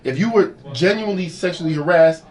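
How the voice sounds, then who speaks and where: A man's voice: on the high side, brisk, darkish tone, distant mic. A man talking in a casual podcast chat, earnest and hedging, often restarting his sentences. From Caribbean family roots. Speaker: guest DJ. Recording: voice a bit off-mic.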